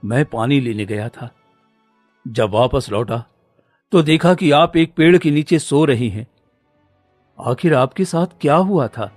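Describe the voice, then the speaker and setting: A man's voice: medium pitch, lively intonation, unhurried, long pauses, darkish tone, dry recording, soft background music. A man playing a kind, courteous fairy-tale king in a cartoon, speaking in a formal and caring manner. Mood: puzzled.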